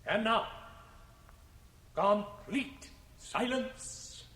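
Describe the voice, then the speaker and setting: Theatrical illusionist: high voice, very slow, commanding, solemn invocations. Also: male voice, expressive, fairly bright tone, a little hiss or hum, exaggerated voice. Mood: commanding, hushed. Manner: theatrical, incantatory, measured proclamation. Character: grandiose stage magician commanding a solemn illusion show. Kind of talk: 1980 radio drama acting. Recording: echoey theatre effect, cassette radio transfer.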